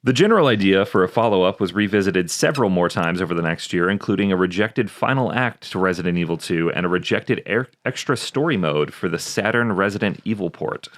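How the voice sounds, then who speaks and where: A man's voice: deep voice, expressive, fairly quick, few pauses, no room sound, clean studio sound. A man co-hosting a podcast, switching between casual, joking banter and reading from notes. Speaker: podcast co-host.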